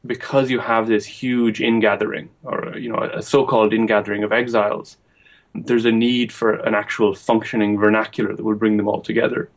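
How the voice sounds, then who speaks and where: Young male historian, lower voice, deliberate academic answers with ums. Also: flat delivery. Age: young adult. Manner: measured, thinking aloud with frequent ums. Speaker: guest historian.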